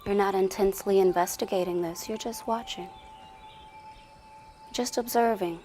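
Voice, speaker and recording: A woman leading a guided meditation in a soothing, deliberate way with long pauses, her soft voice often sinking toward a whisper. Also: medium pitch, fairly even intonation, a little hiss or hum. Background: music.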